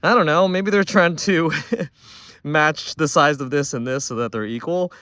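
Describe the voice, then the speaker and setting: A man's voice: fairly high pitch, measured pace, bright, forceful tone, dry recording, studio quality. A man giving a casual, unscripted product review, thinking aloud in a conversational way as he goes.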